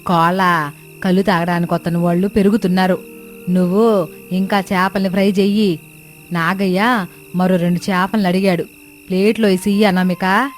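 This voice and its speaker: A woman's voice: on the low side, fairly even intonation, moderate pace, long pauses, faint music behind, lightly acted. A woman playing a practical, shrewd older mother-in-law who sells fish, giving instructions in a cartoon moral story. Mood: busy, directing.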